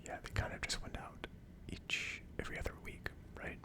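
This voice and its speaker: An ASMR video host whispering casually to viewers in a soft, unhurried manner.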